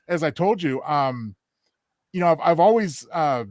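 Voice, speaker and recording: Man, podcast co-host, slightly high voice, dry sound, earnest, wordy, halting, conversational. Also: expressive, a little mellow, no echo. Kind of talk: casual chat.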